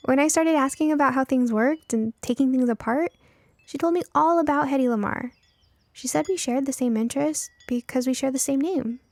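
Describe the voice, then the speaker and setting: A woman's voice: high-pitched, fairly quick, a little mellow, dry recording, voice pushed up. A woman voicing a curious, precocious young girl in a storybook, earnest and direct in manner. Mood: excited. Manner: direct, matter-of-fact, earnest. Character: curious, eager, precocious young girl who loves tinkering. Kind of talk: acted audiobook dialogue.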